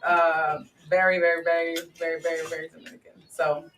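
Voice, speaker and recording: A young woman guest in a casual radio chat, relaxed and slipping Spanish phrases into her English. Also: low-pitched, brisk, a crowd in the background. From Washington DC area. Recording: echoey room, mic at a distance, audible noise.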